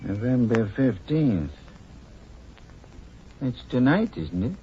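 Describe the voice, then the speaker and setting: An actor playing a sceptical old sea captain with gravelly, folksy sailor talk in an old-time radio drama. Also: male voice, medium pitch, sing-song, slow pace, noisy background. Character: salty, sceptical old captain running tattoo salon. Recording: muffled vintage radio recording with music.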